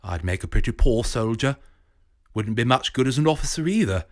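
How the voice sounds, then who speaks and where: A man's voice: mid-range voice, expressive, a little fast, close to an everyday voice. A man voicing a genial, scheming British professor with a jovial, clipped upper-class manner.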